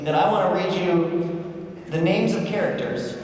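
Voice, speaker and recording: A man's voice: high voice, steady tone, normal speed, a little mellow, a little hiss or hum. A man moderating a panel and running a quiz game in a playful, teasing way. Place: large convention hall. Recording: echoey hall taped from far off.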